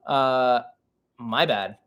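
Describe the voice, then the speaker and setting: A man's voice: mid-range voice, extremely slow, a bit bright. A man in his twenties hosting a casual live-stream Q&A podcast, chatty and self-mocking as he reads the chat aloud. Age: 20s. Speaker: podcast host.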